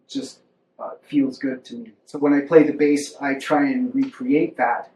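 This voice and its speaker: A man's voice: mid-range voice, a little mellow. Laid-back man in an echoey room. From Barbados. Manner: laid-back, rambling, with fillers and swearing. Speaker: bassist. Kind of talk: relaxed interview storytelling. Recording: roomy echo, faint music.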